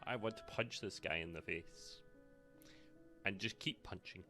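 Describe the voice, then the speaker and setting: A man's voice: deep voice, a little slow, bright, forceful tone, soft background music. A man with a British accent giving casual, sarcastic, sweary commentary as a game streamer.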